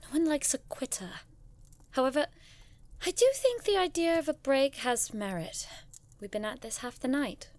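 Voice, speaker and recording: Elegant young lady scholar: wry, teasing, arch, slightly high voice, audio drama. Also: animated, moderate pace, a little mellow, no echo.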